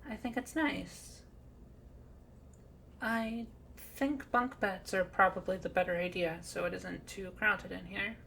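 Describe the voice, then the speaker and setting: A woman playing a timid, sweet, calm young cat girl in a roleplay audio drama, soft-spoken, hesitant and gently dry. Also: medium pitch, a little slow, vocal fry, a little hiss or hum.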